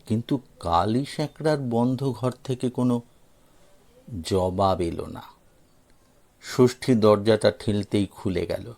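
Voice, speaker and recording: A man's voice: on the low side, vocal fry, darkish tone. A man reading a scripted story expressively as an audio story narrator.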